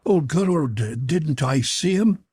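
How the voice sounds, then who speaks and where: A man's voice: a little high, expressive, takes it slowly, darkish tone, slightly rough, no echo, studio quality, pitched above the performer's own voice, exaggerated voice. A man voicing a terrified, superstitious sailor in an audiobook, wailing and pleading as he insists. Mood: frantic insistence. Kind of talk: audiobook character voice.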